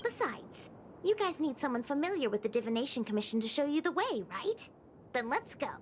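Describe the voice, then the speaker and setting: A woman's voice: sits high, sing-song, fairly quick, a little hiss or hum. A woman playing a laid-back young female diviner in a video game, casual, wry and rambling. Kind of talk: video game cutscene acting.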